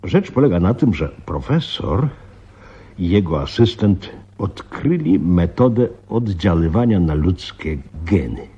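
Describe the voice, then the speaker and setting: An actor playing a flustered, grave veteran police commissioner in a radio play, husky and halting, repeating himself. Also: male voice, sits low, very animated, mellow, exaggerated voice. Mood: confiding, awed. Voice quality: husky, breathy. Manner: halting, muttering, repeats himself, dramatic emphasis. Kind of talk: Polish radio play acting. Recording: slightly dull radio play recording.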